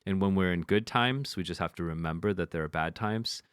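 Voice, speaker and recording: Deep-voiced man chatting frankly. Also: a little fast, a bit bright, smooth, no echo, clean studio sound. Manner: frank, swears casually, restarts a lot. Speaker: podcast co-host. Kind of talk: casual podcast chat.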